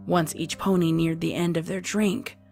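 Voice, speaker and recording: A woman's voice: fairly low pitch, level tone, normal speed, vocal fry, darkish tone, faint music behind. A woman reading scripted narration for a dramatised story, as its narrator.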